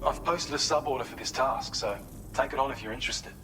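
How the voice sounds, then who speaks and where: An actor playing an earnest, helpful male researcher in a video game, giving a polite, measured, explanatory briefing. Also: mid-range voice, noisy background, lightly acted. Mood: earnest, businesslike.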